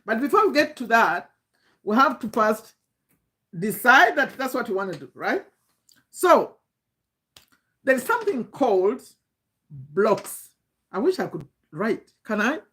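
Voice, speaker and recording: Higher-pitched Ugandan woman coaching informally. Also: big pitch swings, measured pace, long pauses, fairly bright tone. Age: 50s. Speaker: online business coach. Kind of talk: informal motivational talk to camera.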